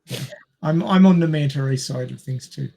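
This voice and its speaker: Man, Australian accent, raised pitch, breathy husky tone, casual quiz host. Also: normal speed, mellow. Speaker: podcast host reading quiz questions. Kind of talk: casual group quiz chat.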